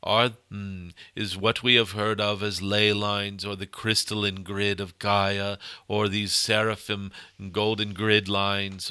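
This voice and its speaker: A man voicing a benevolent, wise cosmic dragon who gives loving spiritual guidance in halting, ceremonious phrases punctuated by hums. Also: lowish voice, pushed and bright, clean tone, dry recording. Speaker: channeler giving spiritual guidance. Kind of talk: channeled spiritual message to an audience.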